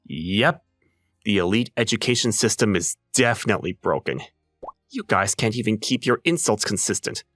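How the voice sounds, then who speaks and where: A man voicing an unbothered, sardonic high school underdog in an anime-style comic dub, with a dry, mocking delivery. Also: sing-song, moderate pace, fairly bright tone, no room sound, soft background music. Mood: mocking deadpan.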